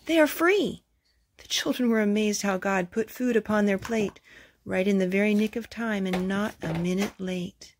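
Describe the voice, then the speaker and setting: A woman hosting a children's storytime, reading aloud in an expressive storytelling manner. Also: mid-range voice, normal speed, slight background noise.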